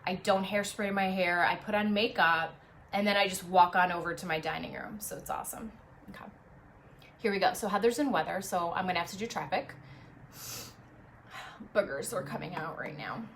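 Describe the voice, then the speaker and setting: A woman presenting traffic and news live from her apartment dining room on a phone, chatty off air and brisk on air, a little sniffly. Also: fairly low pitch, fairly bright tone. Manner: chatty and self-deprecating off air, brisk and upbeat on air. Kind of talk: casual live stream chat and on-air traffic report.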